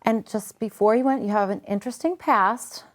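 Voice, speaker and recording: A woman's voice: mid-range voice, moderate pace. A woman hosting an interview, chatting and encouraging her guest with short affirmations. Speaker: host. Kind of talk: interview chat.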